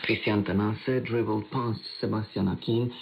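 A man's voice: fairly low pitch, fairly even intonation, normal speed, vocal fry, lo-fi sound. A man reading a scripted sports news bulletin as a radio presenter, heard over shortwave. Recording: noisy shortwave radio reception. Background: radio static and interference.

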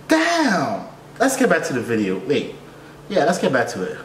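A young man tells stories in a casual, exasperated rant, repeating himself and using slang. His pitch swings widely as he gets animated. The room adds echo and faint noise behind him. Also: a little slow. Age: young adult. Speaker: reaction video host, air traffic controller. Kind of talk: casual reaction commentary with storytelling. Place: small furnished room indoors.

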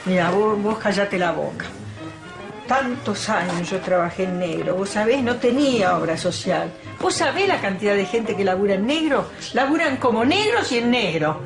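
A woman's voice: medium pitch, lively intonation, moderate pace, vocal fry. An actress playing an indignant, bossy older woman in a telenovela, with an Argentine accent and a blunt, scolding manner. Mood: indignant complaining. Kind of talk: telenovela acting. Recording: music playing under the dialogue.

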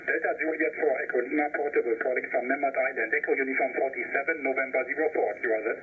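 A man's voice: mid-range voice, fairly even intonation, rapid-fire, phone-quality sound. A man operating portable amateur radio, making calls and brief chat over a noisy shortwave link.

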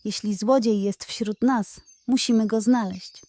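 A woman's voice: mid-range voice, steady tone, measured pace, mellow, lightly acted. A woman voicing a sensible, practical female animal in a bedtime audio story, speaking thoughtfully.

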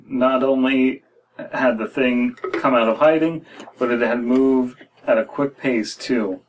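A man's voice: mid-range voice, poor audio. An American man in his thirties reading a horror story aloud as a podcast co-host, with wry, critical asides. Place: small room indoors.

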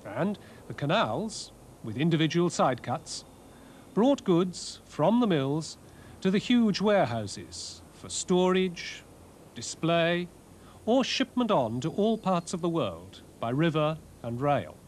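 A man giving scripted, scholarly documentary narration in a measured way, with wry asides. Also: fairly high pitch, big pitch swings, slight background noise. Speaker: presenter, art and architecture lecturer. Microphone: voice-over narration.

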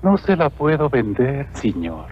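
A man playing a humble, honest young villager in a cartoon, speaking earnestly and politely with gentle deference. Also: medium pitch, sing-song, unhurried, slight background noise. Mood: apologetic, earnest. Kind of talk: dubbed cartoon acting. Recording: muffled lo-fi old cartoon soundtrack.

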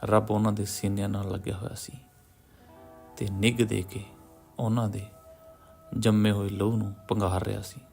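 A man reads a story in a solemn, measured manner. His low voice has a heavy gravelly fry and stays fairly even in pitch. He leaves pauses between short phrases, with music playing behind him. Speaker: audiobook story narrator. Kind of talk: scripted literary story narration. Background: background music.

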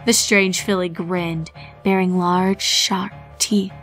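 A woman's voice: fairly low pitch, fairly even intonation, slow, fairly bright tone. A woman reading a scripted fan fiction story as its narrator. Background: background music.

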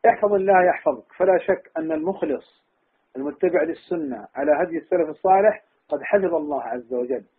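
A man's voice: on the high side, vocal fry, breathy edge. A man, an Islamic scholar, giving instructive religious advice off the cuff, over a tinny phone-like connection. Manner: enumerating, instructive. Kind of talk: religious advice, speaking off the cuff in a question and answer session. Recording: tinny, muffled phone-line sound.

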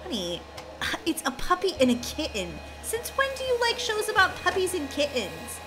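Woman acting an exasperated, high-voiced overworked mom. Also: huge pitch swings, normal speed, a bit bright, heavily acted. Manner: exaggerated, flustered. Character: overworked, exasperated, long-suffering mother of a family. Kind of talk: children's game roleplay acting.

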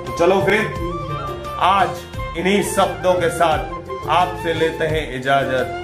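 A man's voice: fairly high pitch, steady tone, slow pace. A man in his thirties chatting casually to viewers in a selfie vlog, filmed on a camera held at arm's length, with a jokey, direct way of talking. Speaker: vlogger. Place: shops, outdoor grounds and a home. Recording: loud music and crowd behind.

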